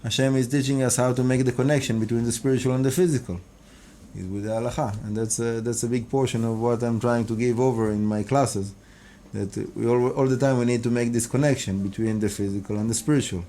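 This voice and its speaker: A mellow-voiced man whose first language is Hebrew, speaking English as he answers an interviewer. He talks earnestly about his teaching and often breaks off mid-phrase to restart. A faint background hiss runs under the recording. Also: mid-range voice, fairly even intonation. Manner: halting, restarts and repeats words often. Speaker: rabbi and kabbalah teacher.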